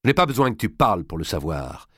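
A man's voice: on the low side, sing-song, quick pace, a bit bright, breathy edge, no echo, clean studio sound, heavily acted. A man voicing a stern, imperious medieval noblewoman mother, scolding and commanding, in an audiobook. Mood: cold. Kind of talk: dramatised audiobook dialogue.

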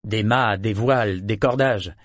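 A man's voice: on the low side, unhurried, dry recording, lightly acted. Man playing a proud, blunt sailor, dry sound. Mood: matter-of-fact. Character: proud, blunt, bitter disinherited male sailor. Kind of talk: audiobook character dialogue.

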